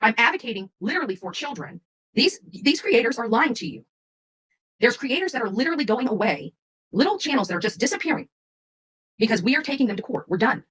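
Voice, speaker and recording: A rough-edged, raspy woman talks fast, with pitch that swings widely. She is a true crime creator venting off the cuff to viewers, defiant and combative, circling back to repeat her points. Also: big pitch swings, fairly bright tone, poor audio.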